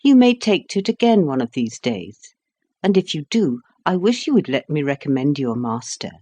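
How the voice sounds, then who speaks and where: Male reader doing a high, gushing society woman for an audiobook; eager, insistent. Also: sing-song, moderate pace, dark tone. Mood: coaxing.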